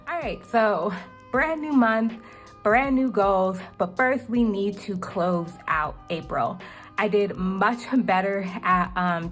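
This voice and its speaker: A young woman talking through her monthly budget in a casual vlog, chatty and full of ums, her voice a little cracked. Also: medium pitch. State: slightly cracking voice. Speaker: budgeting vlogger.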